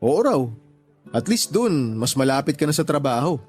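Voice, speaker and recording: A man playing a calm, supportive young boyfriend in a Tagalog radio drama, speaking in a reassuring, conversational way. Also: on the high side, normal speed, faint music behind. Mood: helpful.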